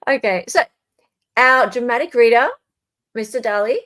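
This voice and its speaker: A woman's voice: on the high side, normal speed, fairly bright tone. A woman hosting a casual live legal commentary chat, talking informally and directly to her viewers. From Australia. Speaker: livestream host.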